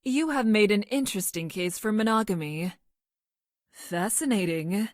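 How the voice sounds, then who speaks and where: A woman's voice: mid-range voice, moderate pace, dry recording, studio quality, lightly acted. A woman voicing a clinical, awkwardly smitten female scientist-assassin from the future in a video game, speaking in a stilted, formal way with precise diction. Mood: formal, pleased. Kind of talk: video game character voice lines.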